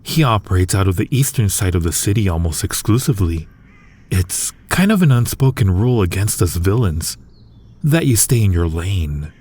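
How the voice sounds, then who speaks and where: Supervillain played by a man: deep, rough-edged husky voice, smug yet protective, teasing and persuasive, close intimate audio-drama delivery. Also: animated, moderate pace, darkish tone. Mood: puzzled, probing. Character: male supervillain, smug yet protective toward his hero nemesis. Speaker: audio roleplay voice actor. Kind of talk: intimate ASMR-style audio roleplay acting.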